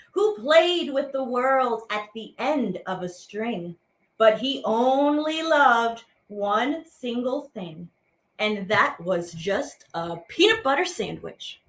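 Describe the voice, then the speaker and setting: A woman teaching artist hosting a program, reading children's poems aloud in a playful, theatrical way with drawn-out words. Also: on the high side, sing-song, fairly bright tone, rough recording.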